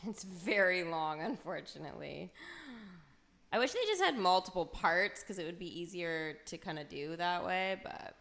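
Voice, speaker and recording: A woman's voice: on the low side, lively intonation, normal speed, gravelly, fairly bright tone, slight background noise. A woman live-streaming a video game, chatting casually to viewers and breaking into exclamations with mild minced swearing. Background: game music.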